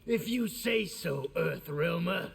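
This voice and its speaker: Cocky game warlord, very gravelly, high voice, bombastic, military, hall reverb. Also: male voice, expressive, slow pace, full character voice. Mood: boastful, menacing. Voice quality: heavily gravelly. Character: cocky militaristic soldier warlord, proud and boastful. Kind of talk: video game fight intro acting. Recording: reverberant hall, faint background noise.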